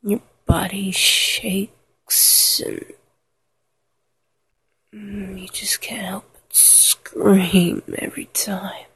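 A woman whispering an intimate, sensual erotic monologue to the listener in a breathy, drawn-out way. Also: medium pitch, level tone, poor audio. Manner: slow, sensual, drawn-out delivery with soft moans.

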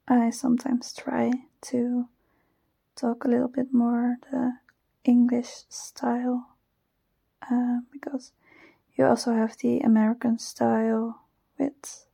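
A shy young Dutch-accented woman talks in a soft, breathy whisper close to the mic, her voice dark and gravelly at the edges. She speaks slowly and hesitantly, with nervous giggles and a flat, even tone. The recording is dry and intimate. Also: fairly high pitch, dry recording. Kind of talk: whispered personal ramble, ASMR-like. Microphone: close microphone.

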